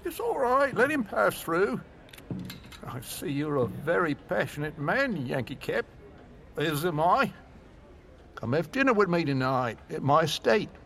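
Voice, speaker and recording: An actor playing a wealthy elderly gentleman, deliberate and polite, in an audio drama. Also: a little high, very animated, slight background noise, exaggerated voice. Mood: calm, inviting. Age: older. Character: wealthy, composed elderly gentleman collector, commanding.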